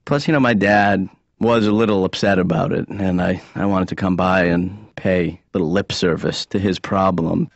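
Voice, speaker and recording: An actor playing a slacker grown son in a cartoon, talking casually and hesitantly, quick to plead and argue. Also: male voice, low-pitched, fairly even intonation, no echo, lo-fi sound. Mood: casual, defensive. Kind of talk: improvised-sounding conversational cartoon acting.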